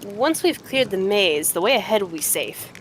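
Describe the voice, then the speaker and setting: A woman's voice: medium pitch, expressive, moderate pace, slight background noise, natural voice, low-quality recording. Woman reading as an earnest horned cave fighter. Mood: earnest, apologetic. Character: earnest young horned mongrel man, frank, wry cave-dwelling fighter.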